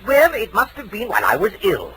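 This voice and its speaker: An actor playing an excitable, aggrieved eccentric inventor in a 1940s radio mystery, fussy and sputtering with indignation. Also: male voice, sits high, brisk, exaggerated voice. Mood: agitated, insistent. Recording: old radio broadcast, audience noise.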